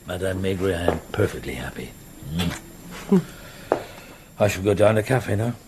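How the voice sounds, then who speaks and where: Middle-aged detective, contented n quietly curious, voice goes up and down, hesitant murmurs, radio play, faint ambience. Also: male voice, low voice, lively intonation, unhurried, noisy background. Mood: contented, reassuring. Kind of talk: radio drama acting, British production.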